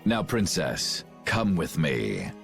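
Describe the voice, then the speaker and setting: A deep, gloating villain. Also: male voice, sing-song, slow pace, vocal fry, full character voice. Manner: cold, gloating, mocking laughter. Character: scheming ruthless male commander, middle-aged. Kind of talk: game cutscene voice acting. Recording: game audio with music behind.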